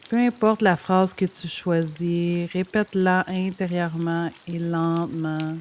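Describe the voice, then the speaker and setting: A woman guiding a yoga nidra meditation in a gentle, soothing, measured way, leaving pauses between phrases. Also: on the low side. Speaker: meditation guide. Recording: steady rain sound over the voice. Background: rain sound.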